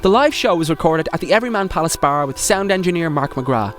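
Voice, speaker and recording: Higher-pitched male radio announcer. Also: animated, quick pace, slight background noise. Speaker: programme announcer. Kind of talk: scripted radio credits announcement.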